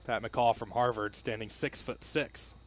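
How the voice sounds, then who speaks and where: A man giving casual live color commentary on a baseball broadcast, sometimes halting and repeating words. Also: medium pitch, steady tone.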